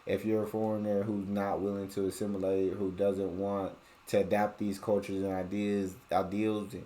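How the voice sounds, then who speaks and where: A man's voice: fairly low pitch, flat delivery, moderate pace, mellow, slight background noise. An American man giving casual, colloquial commentary as a reaction host, repeating and rephrasing his points. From United States.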